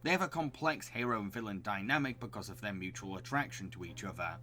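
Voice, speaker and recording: Bright-voiced man narrating a video review. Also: lowish voice, expressive, fast, a little hiss or hum.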